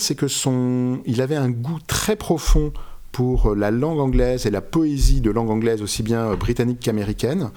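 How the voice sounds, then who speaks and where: Man explaining in halting, scholarly speech. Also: medium pitch, darkish tone. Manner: erudite, halting explanations with frequent self-corrections. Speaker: interviewed author and literary expert. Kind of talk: unscripted scholarly interview.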